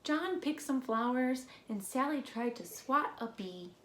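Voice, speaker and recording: A woman reading a children's storybook aloud expressively, with deliberate phrasing and playful emphasis. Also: sits high, animated, fairly bright tone. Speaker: read-aloud presenter. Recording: echoey room with faint noise.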